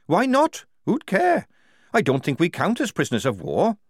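A man's voice: sits high, wildly swooping pitch, normal speed, dry recording, clean studio sound, pitched above the performer's own voice, exaggerated voice. A man voicing a blunt, sarcastic young woman soldier.

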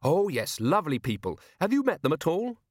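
A man's voice: a little high, very animated, brisk, no room sound, studio quality, voice pushed up. A man voicing a cheerful, very polite young officer in an audiobook, with a courteous, chipper manner.

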